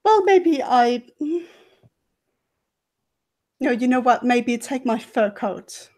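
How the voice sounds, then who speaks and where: Woman playing a high-voiced, fluttery genteel lady. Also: lively intonation, slow, a little mellow. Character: genteel, chatty, sweet lady dinner guest.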